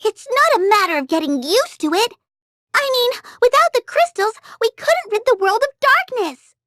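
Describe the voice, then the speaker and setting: A woman's voice: very high-pitched, sing-song, normal speed, a bit bright, dry recording, studio quality, heavily acted. A woman voicing a tiny, bossy, impatient fairy guide in a video game, chirpy and emphatic. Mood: indignant, flustered. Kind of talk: English video game voice acting.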